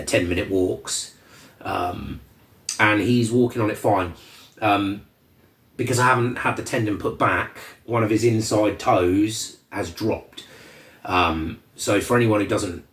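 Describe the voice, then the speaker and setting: A man with a cold and a slightly croaky voice, hosting a casual vlog update in a relaxed, rambling way with many ums. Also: low voice. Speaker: channel host. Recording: roomy echo from a distant mic.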